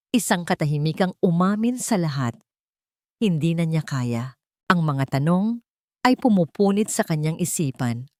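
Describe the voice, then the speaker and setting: A woman's voice: fairly low pitch, dry recording, clean studio sound. A woman reading a scripted romantic drama as a storyteller. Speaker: narrator.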